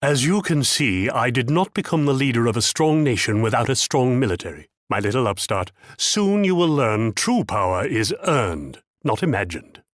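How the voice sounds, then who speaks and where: An actor playing a haughty, shrewd Dutch military commander in a strategy game, pompous, theatrical and patronizing. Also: male voice, medium pitch, sing-song, normal speed, hardly any pauses, dry recording, studio quality. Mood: condescending advice. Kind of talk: scripted strategy game character lines.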